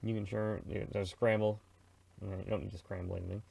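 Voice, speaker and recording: Low, gravelly middle-aged man, casual. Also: little pitch movement, fairly quick, darkish tone, poor audio. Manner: conversational, rambling, informal. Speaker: radio reviewer and presenter. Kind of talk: casual product review and how-to chat.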